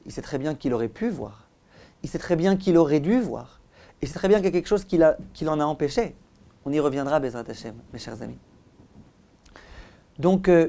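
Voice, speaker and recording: A man, a rabbi, giving a religious lesson as animated storytelling, with dramatic pauses and direct address to his listeners. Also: on the high side. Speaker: rabbi, teacher.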